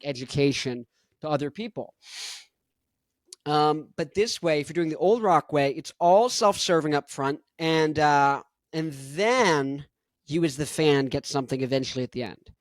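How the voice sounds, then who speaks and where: A young man hosting his own podcast in an energetic, rambling monologue full of "like". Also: on the high side, dry recording. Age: young adult. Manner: rambling, energetic, heavy use of "like". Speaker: podcast host.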